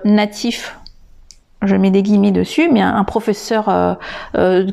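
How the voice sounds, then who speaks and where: A woman talks to her listeners in an unscripted podcast lesson. She speaks slowly, in a fairly high voice. She often stops to hesitate and restart. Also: a little mellow. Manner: hesitant, many filler sounds and restarts; addresses the listener directly and informally. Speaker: English teacher and podcast host.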